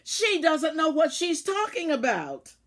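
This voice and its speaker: Casting: bright, mischievous young mouse in a storybook, read by a woman; high-pitched and eager. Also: big pitch swings, a little slow, heavily acted. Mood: mocking. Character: playful, mischievous little mice, eager pranksters.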